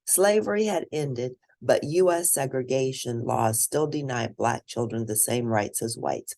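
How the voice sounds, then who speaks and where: A woman reading a children's picture book aloud as host, in expressive, deliberate storytelling. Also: very deep voice.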